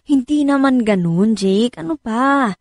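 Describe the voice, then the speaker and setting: An actress with a Filipino accent playing an anxious, practical wife in a radio drama, probing and gently pleading in a conversational way. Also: female voice, fairly high pitch, animated, slow pace, dry recording, clean studio sound, heavily acted. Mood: worried, careful.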